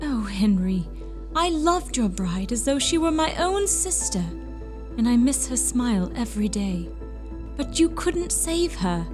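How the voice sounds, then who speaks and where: A loving, worried Victorian gentlewoman, acted by a woman for an audio drama. Her voice is high and swings expressively as she pleads earnestly, with music playing behind her. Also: very animated, unhurried. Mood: sorrowful, concerned. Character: anxious, loving Victorian sister with premonitions. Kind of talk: period audio drama acting.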